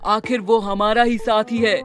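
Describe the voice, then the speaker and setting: A man's voice: sits high, little pitch movement, unhurried, a bit bright, soft background music. A man playing an earnest village man in a cartoon, delivering scripted dialogue. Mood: worried, earnest. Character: earnest village men planning a rescue.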